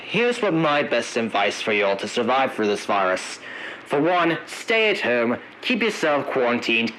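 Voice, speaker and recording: Halting man narrating with swooping pitch, noisy recording. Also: sharp tone. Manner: halting, wordy, searching for words. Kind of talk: analog horror narration as an emergency alert briefing.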